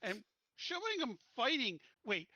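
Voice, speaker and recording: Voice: mid-range voice, very animated, measured pace, bright, forceful tone, dry recording, dull audio. A player in a tabletop roleplaying game, speaking bluntly and commandingly in character.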